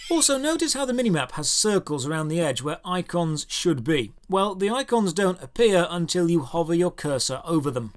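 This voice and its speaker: Male game reviewer, high voice, scripted narration, sardonic, deliberate delivery. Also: sing-song. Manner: dry, sardonic, deliberate phrasing. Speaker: game reviewer and show host.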